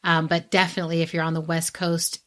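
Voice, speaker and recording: Low-voiced woman chatting evenly, giving advice. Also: little pitch movement, fast talker, fairly bright tone. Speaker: podcast guest giving advice. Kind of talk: casual conversational advice.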